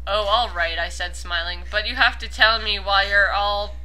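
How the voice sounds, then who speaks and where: Bright-voiced, giggly young woman reading aloud. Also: mid-range voice, fairly even intonation, moderate pace, low-quality recording. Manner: giggly, swears freely. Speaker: host of a comedic commentary reading. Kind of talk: casual dramatic reading with mocking commentary.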